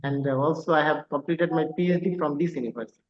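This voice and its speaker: Man, co-host, fairly high voice, hesitant fillers, dull lo-fi video call sound. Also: steady tone, quick pace, echoey room. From Bangladesh. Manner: hesitant, many fillers, formal and courteous. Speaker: co-host, visiting assistant professor. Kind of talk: closing remarks at an online lecture.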